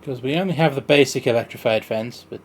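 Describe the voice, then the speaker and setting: A man's voice: mid-range voice, fast talker, slightly rough. A man giving casual gameplay commentary, thinking aloud and muttering to himself as he plays. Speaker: streamer playing a park-building game.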